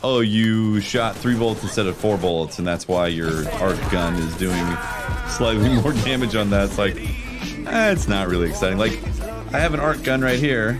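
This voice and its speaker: A man doing casual live gameplay commentary, chatting with viewers in a slangy, animated way as he plays. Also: lowish voice. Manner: animated, reacts out loud while playing. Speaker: game streamer.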